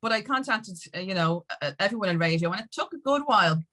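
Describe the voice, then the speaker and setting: A woman's voice: mid-range voice, animated, quick pace, bright, forceful tone. A middle-aged Irish woman chatting candidly as an interview guest, telling her story in an emphatic, rambling way and acting out quotes. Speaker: guest, music industry campaigner.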